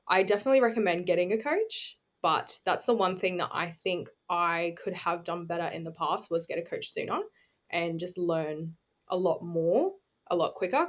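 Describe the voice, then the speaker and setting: A woman, chatting informally as a podcast guest and telling her own story. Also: on the low side. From New Zealand, raised on Australia's Gold Coast. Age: 20s. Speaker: podcast guest, bodybuilding competitor. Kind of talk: casual chat, personal storytelling.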